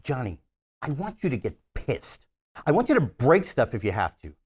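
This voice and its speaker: A man's voice: medium pitch, wildly swooping pitch, normal speed, husky. A man playing a bubbly, exasperated film director in his sixties, a demanding perfectionist, in a comedy screenplay table read. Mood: bossy.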